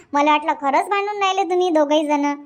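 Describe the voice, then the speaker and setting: High, youthful, obedient young woman in a cartoon. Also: normal speed, close to an everyday voice. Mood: concerned. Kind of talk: cartoon comedy dub dialogue.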